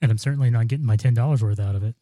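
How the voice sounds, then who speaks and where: A man's voice: medium pitch, rapid-fire, mellow, no echo. A man co-hosting a podcast in casual chat, rambling with many ums and restarts, on a studio dynamic mic. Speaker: podcast co-host. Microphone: broadcast dynamic studio mic.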